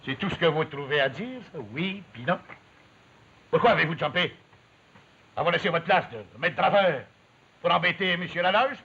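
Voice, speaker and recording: Gruff Quebec parish priest, forceful, high voice, brusque, repetitive, muffled 1950s drama recording. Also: male voice, expressive, normal speed, long pauses, full character voice. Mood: brusque questioning. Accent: Quebec French. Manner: brusque, scolding, repeats himself. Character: stern, gruff parish priest who scolds drinkers. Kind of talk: 1950s television drama acting. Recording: dull, noisy 1950s television soundtrack.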